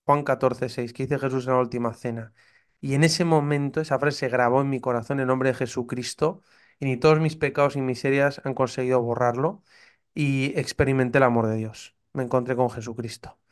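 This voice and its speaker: Fervent Spanish priest with a smooth voice. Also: male voice, mid-range voice, fairly even intonation, brisk. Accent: Castilian Spanish. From Spain. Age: 30s. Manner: earnest, fervent storytelling, acts out his own past doubts. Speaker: priest, podcast guest. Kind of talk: personal testimony in conversation.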